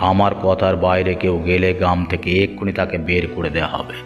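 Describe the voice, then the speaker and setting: Man acting as haughty cartoon landlord type, deep voice, pompous, commanding, clear music behind. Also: little pitch movement, fast talker, natural voice. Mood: imperious. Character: haughty, domineering rich village boss, easily swayed. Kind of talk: Bengali moral-story cartoon dubbing.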